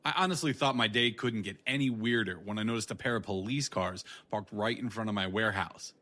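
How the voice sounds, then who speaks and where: An actor playing a world-weary, sarcastic, blunt private detective in a noir audio drama. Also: male voice, fairly low pitch, brisk, pushed and bright. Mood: weary, wry. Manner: sardonic, wry.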